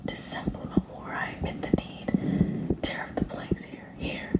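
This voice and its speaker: A woman voicing a vampire storyteller who confesses a murder in a nervous, breathy, dramatic whisper for an ASMR story. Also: unhurried, echoey room, lightly acted. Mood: frantic, agonized.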